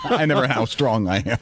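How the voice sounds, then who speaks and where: A man chatting casually as a radio show regular, halting and circling back to rephrase himself. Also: mid-range voice. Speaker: radio show cast member.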